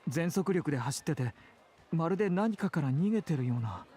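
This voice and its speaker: An actor playing a caring, politely firm adult man with gentle feminine turns of phrase in a Japanese visual novel. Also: on the high side, lively intonation, rapid-fire, slightly rough. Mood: surprised, concerned. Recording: game dialogue with music behind.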